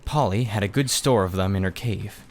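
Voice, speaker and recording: A man's voice: fairly low pitch, normal speed, slight background noise. A man reading aloud a children's story as scripted audiobook narration. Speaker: audiobook reader.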